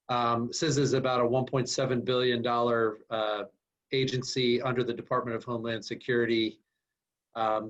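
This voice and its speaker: A man who is a government official introducing himself on a panel in a businesslike way with fillers. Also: medium pitch. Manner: businesslike, fillers, brisk self-summary. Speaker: government official, guest speaker. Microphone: video call. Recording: muffled video call audio.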